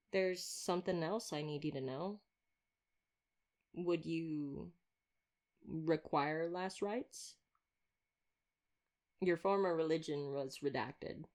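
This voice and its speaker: Girl acting as a chatty vampire hunter lady, kinda low voice, teasing n sardonic but caring, asmr roleplay drama. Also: darkish tone.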